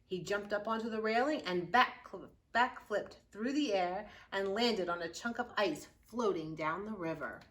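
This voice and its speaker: A woman reading a children's picture book aloud with expressive, dramatic storytelling and drawn-out key words. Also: mid-range voice. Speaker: youth services librarian reading aloud.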